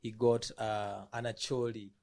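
A man with a Ugandan accent, a recording artist talking in a relaxed way in a TV studio interview, laughing easily. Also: fairly low pitch, unhurried, creaky, dry recording. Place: indoor TV studio set. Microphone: handheld mic.

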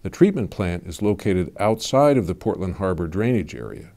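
A man giving measured, scripted voice-over narration for an explanatory video. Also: low voice, creaky. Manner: measured, even informational delivery.

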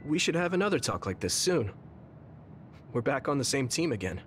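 An actor playing an earnest young male psychic soldier in a game, speaking gently and sincerely, with music behind. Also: mid-range voice, big pitch swings, moderate pace, natural voice. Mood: warm. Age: young adult. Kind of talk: video game dub acting.

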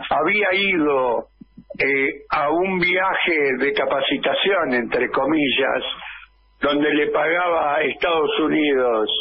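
Husky slow Argentine man on radio. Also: on the high side. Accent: Argentine Spanish. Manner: slow, emphatic, insistent, with frequent "eh" fillers. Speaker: radio commentator. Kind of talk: political radio editorial. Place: small radio studio. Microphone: studio mic on boom arm, wearing headphones. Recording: dull lo-fi radio sound.

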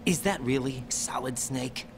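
An earnest young soldier. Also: male voice, mid-range voice, a little slow, gravelly, noisy background. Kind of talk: video game cutscene.

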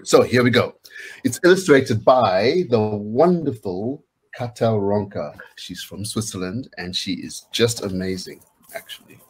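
A man's voice: on the low side, measured pace, slightly rough. A middle-aged man reading picture books aloud to children, chatting casually and encouraging them to join in. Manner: encouraging, inviting listeners to join in. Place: indoors, small home room.

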